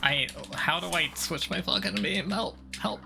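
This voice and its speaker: A man's voice: high-pitched, a little slow, creaky, over music. A man giving casual, sweary, self-deprecating commentary while playing a video game.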